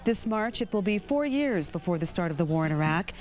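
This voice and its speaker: A woman's voice: fairly low pitch, lively intonation, a little fast. A woman with an American accent reading scripted news as an anchor.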